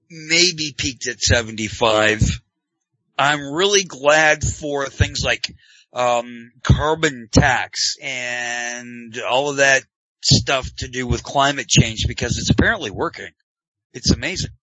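Canadian man, sarcastic podcast host, bright tense voice, dry dull lo-fi sound. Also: mid-range voice, takes it slowly, no echo. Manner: sarcastic, joking. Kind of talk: casual chat.

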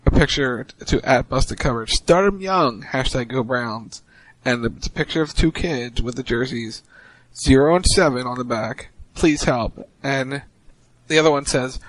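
A man co-hosting a casual talk show, reading tweets aloud with relaxed, joking asides. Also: medium pitch, dry recording, low-quality recording. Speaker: podcast co-host.

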